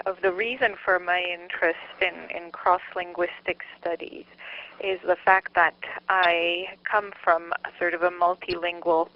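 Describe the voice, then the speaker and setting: A middle-aged woman from Montreal with a Canadian accent and Czech as her mother tongue, answering interview questions as a researcher in a hesitant, self-correcting, thoughtful way. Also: on the low side. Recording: dull lo-fi interview audio.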